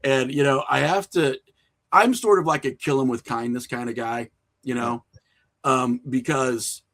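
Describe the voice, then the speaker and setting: A man's voice: medium pitch, fairly even intonation, a little slow, a bit bright. A man talking informally as an interview guest, telling anecdotes in a self-deprecating, conversational way. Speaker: podcast guest, indie filmmaker.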